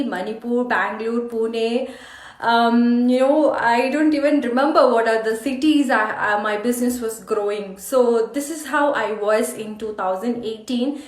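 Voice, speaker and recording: A woman with an Indian accent telling a personal motivational story in an earnest, emphatic way, with halting English phrasing. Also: fairly high pitch. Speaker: life coach hosting a meditation challenge. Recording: echoey, roomy sound.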